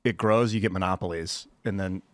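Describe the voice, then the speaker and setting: A man with a moderately low voice takes part in a podcast panel. His talk is informal and musing, wandering from thought to thought. The recording is dry, with no room sound. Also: a little fast, no room sound. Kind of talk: casual chat.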